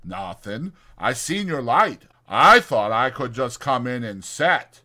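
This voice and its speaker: A man voicing a big, simple, friendly farmhand with halting, childlike speech. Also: medium pitch, lively intonation, sharp tone, exaggerated voice. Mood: friendly, hopeful.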